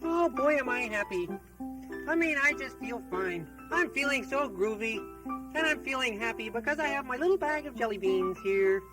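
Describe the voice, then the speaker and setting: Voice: medium pitch, expressive, moderate pace, music in the background, lightly acted, low-quality recording. A performer voicing a goofy, cheery boy hero who stammers and talks in groovy slang. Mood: cheerful. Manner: bubbly, stammering, says groovy.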